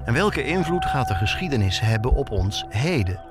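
A man with a Netherlands Dutch accent narrates a scripted podcast as a reporter and host. His pitch moves in a lively way as he tells things personally and asks rhetorical questions. Music plays clearly behind him. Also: normal speed.

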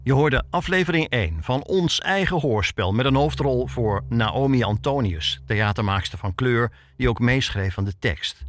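Male documentary podcast narrator, mid-pitched. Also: a bit bright. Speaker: podcast host and reporter.

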